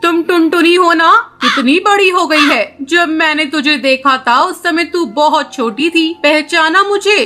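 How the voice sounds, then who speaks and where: A woman's voice: very high-pitched, unhurried, hardly any pauses, pushed and bright, full character voice. A woman voicing a chatty, motherly middle-aged pigeon aunt in a children's cartoon, affectionate and forthright. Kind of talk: Hindi children's cartoon voice acting.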